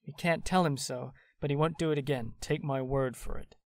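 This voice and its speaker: Breezy young guy, low voice, teasing, dry close mic, audio drama. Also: fairly even intonation, a little fast, darkish tone, dry recording. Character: cheerful rich young man, Laurie.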